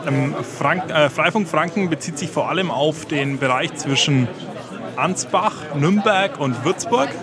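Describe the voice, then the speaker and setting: A man's voice: on the high side, unhurried. Man with a Franconian accent, crowd behind. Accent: Franconian German. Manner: hesitant, many filler sounds. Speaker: guest. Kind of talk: casual interview answers. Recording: loud crowd chatter behind.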